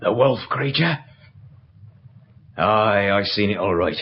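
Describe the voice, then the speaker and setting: An actor playing a worried, deferential rustic servant with earnest, plain-spoken delivery in a 1970s British radio horror play. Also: male voice, on the low side, animated, takes it slowly, background noise. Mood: uneasy. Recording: dull recording, faint background ambience.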